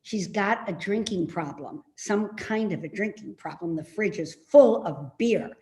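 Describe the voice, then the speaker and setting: A woman's voice: medium pitch, warm tone, lightly acted. A woman in a play reading, playing a suspicious, complaining elderly mother who nags indignantly. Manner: nagging, indignant, slow and insistent. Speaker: actor in a readers theater play. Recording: roomy, echoey remote reading.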